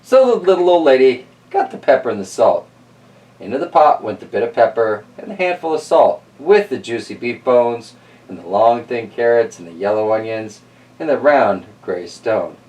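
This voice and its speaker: A man reading a storybook aloud in an expressive, informal and chatty way. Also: medium pitch, normal speed, recorded from a distance, low-quality recording. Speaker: storybook reader and host. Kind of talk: storybook narration with casual asides.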